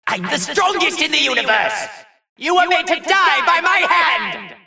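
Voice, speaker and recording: An actor voicing a cruel, mockingly polite alien galactic tyrant in a theatrical video game style, with a haughty, exclamatory delivery. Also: male voice, very high voice, animated, unhurried, creaky, pushed and bright, dry recording, clean studio sound, exaggerated voice. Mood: menacing shouting.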